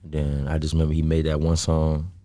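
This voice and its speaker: A young man from Chicago's south suburbs, a guest musician talking in a low-key, hesitant way with lots of fillers. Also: monotone, brisk, warm tone, no room sound. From South Holland, south suburbs of Chicago. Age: young adult. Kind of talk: casual interview chat.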